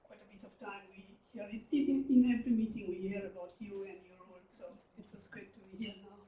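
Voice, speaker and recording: A man in his thirties from Nepal giving an academic research presentation, pausing often with um and uh. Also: high-pitched, fairly even intonation, warm tone, a little hiss or hum. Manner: hesitant, frequent um and uh fillers. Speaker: research lecturer. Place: lecture room. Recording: distant, dull, roomy lecture recording.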